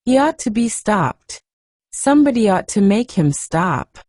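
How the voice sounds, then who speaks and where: Fierce little girl near shouting, read by a woman, lively swings of pitch, dry close sound. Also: measured pace, dry recording. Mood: angry.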